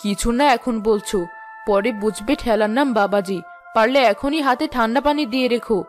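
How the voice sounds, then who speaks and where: A woman playing a sharp-tongued village housewife in a cartoon fairy tale, teasing and sarcastic. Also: on the high side, brisk, lightly acted. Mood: grudging, chiding. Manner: sarcastic, taunting. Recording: music and birdsong behind the voice.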